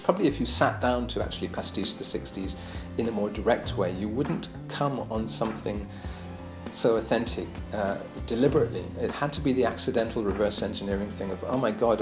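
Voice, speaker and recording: A man's voice: on the low side, reverberant. A man lecturing on his own album in a casual, musing way, hesitant, with many ums and restarts.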